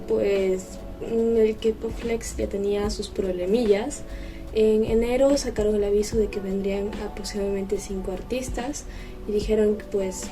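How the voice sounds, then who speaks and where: Female vlogger, mellow tone, casual explanatory chat, distant mic. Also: mid-range voice. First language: Spanish. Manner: conversational, explanatory. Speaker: vlogger, host. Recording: distant mic with music behind.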